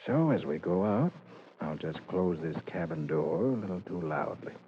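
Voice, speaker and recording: An actor playing a cool, resolute detective in 1940s radio drama style, calm, commanding and deliberate. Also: male voice, deep voice, breathy edge. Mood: calm, scheming. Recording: dull, hissy old radio recording.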